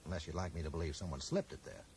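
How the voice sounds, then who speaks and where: A polite, inquisitive bookseller from an eighties radio drama. His voice is very low and he talks briskly, probing carefully. The old broadcast recording carries slight noise. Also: fairly even intonation, darkish tone. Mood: earnest, courteous. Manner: questioning, measured, courteous. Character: probing, polite bookseller investigating a stranger's death. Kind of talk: 1980s radio drama acting.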